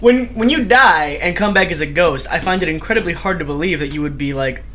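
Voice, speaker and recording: A sarcastic podcast co-host talking quickly in a bright, sharp voice, with plenty of swearing. Faint background noise sits under the talk. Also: mid-range voice. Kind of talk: casual banter.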